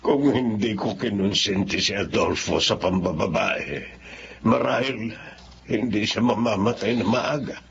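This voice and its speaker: A man's voice: fairly low pitch, a little slow. An actor with a Filipino accent playing a remorseful, lonely old grandfather in a solemn radio drama. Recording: dull lo-fi, clearly noisy recording.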